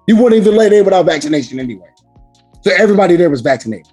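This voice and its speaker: Hoarse raspy man, loud and profane. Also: sits high, very animated, very fast, faint music behind. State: hoarse from screaming. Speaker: podcast host. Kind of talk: casual podcast chat.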